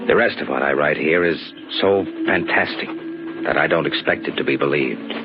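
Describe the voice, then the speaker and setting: An actor playing a tough, wry young American private detective in a radio drama, with hardboiled slangy delivery. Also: male voice, very deep voice, normal speed. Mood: grim, wry. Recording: dull old radio recording with music.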